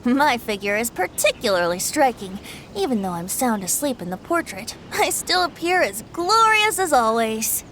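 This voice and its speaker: High, lilting boyish bard. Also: female voice, very animated, normal speed, background noise. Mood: self-satisfied. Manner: airy, theatrical, flowery phrasing. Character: carefree youthful male bard, playful, poetic and self-admiring. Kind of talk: video game character acting. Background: game music.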